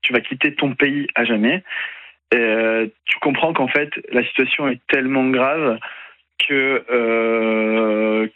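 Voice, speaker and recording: A young man from Italy with no accent, speaking haltingly as a radio interview guest, full of fillers and restarts. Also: medium pitch, no echo. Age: young adult. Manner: hesitant, many fillers and restarts. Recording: dry tinny phone-like radio sound.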